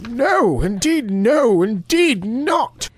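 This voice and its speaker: An actress voicing a fawning, gossipy crone in an audio drama, repeating herself in a drawn-out manner. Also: female voice, fairly high pitch, very animated, no room sound, exaggerated voice. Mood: sanctimonious. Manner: repetitive, drawn-out.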